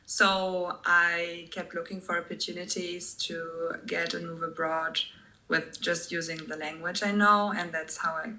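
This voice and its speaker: A woman's voice: lowish voice, flat delivery, a little slow, bright, forceful tone, poor audio. A woman from Germany, a native German speaker with a German accent, answering conversationally as a podcast guest.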